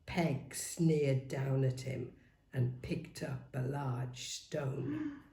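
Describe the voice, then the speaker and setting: A woman reading a novel aloud as dramatic, expressive narration. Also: a bass voice, extremely slow. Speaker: story reader. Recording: roomy, echoing sound.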